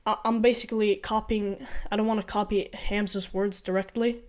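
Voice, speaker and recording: A man's voice: sits high, little pitch movement, normal speed. A young man from Ukraine with a mixed Ukrainian and Norwegian accent gives casual vlog advice. He talks in an earnest, rambling way, often correcting himself and repeating his points. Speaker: vlogger.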